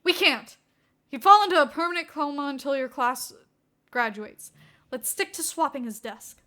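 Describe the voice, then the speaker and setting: A woman voices an earnest, friendly hero in an audio story. He sounds high, bright and cheerful. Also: animated, normal speed.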